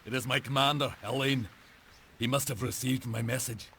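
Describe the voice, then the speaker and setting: An actor playing a determined, blunt knight captain in a game cutscene, terse and resolute. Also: male voice, medium pitch, steady tone, normal speed, bright, forceful tone, slight background noise. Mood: earnest. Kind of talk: video game cutscene acting.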